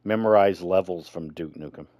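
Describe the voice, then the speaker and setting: A man's voice: sits low, moderate pace, no echo, dull audio. A man co-hosting a podcast, chatting casually and informally with a friend, with some swearing. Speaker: podcast co-host. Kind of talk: casual rambling chat with a friend.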